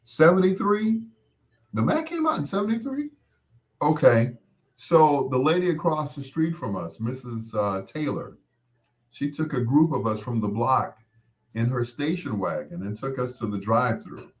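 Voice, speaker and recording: A man's voice: medium pitch, big pitch swings, moderate pace. An American man in his sixties hosting a casual live chat, reminiscing in a rambling, conversational way and repeating points for emphasis. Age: 60s. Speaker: host.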